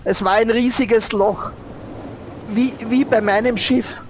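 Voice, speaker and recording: A man's voice: sits high, steady tone, slow pace, slight background noise. A man with an Austrian accent improvising in character in a role-play, hesitant and repeating himself. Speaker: player in an improvised audio-play adventure.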